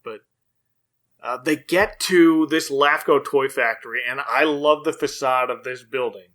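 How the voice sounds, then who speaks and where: A man's voice: medium pitch, unhurried, a bit bright. A man hosting a podcast, recapping something casually in an enthusiastic, amused way. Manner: enthusiastic, amused, laughs often while talking. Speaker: podcast host. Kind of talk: casual episode recap chat.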